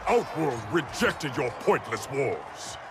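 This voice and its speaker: An actor playing a proud, stern warrior emperor with a formal, solemn delivery in a video game cutscene. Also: male voice, medium pitch, sing-song, takes it slowly, noisy background. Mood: measured. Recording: dramatic music behind the voice.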